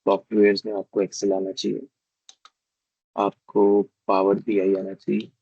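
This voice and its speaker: A hoarse male teacher giving a live online class, explaining step by step and often checking that students follow. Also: on the low side, monotone, a little slow, dark tone, no room sound, highs cut off. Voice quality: husky, gravelly.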